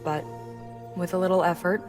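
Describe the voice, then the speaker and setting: Woman acting low-voiced young engineer. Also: little pitch movement, moderate pace, over music, close to an everyday voice. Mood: matter-of-fact, concerned. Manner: casual, wry technical explaining. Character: brisk young woman engineer, anxious and grieving mother. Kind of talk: naturalistic game cutscene acting.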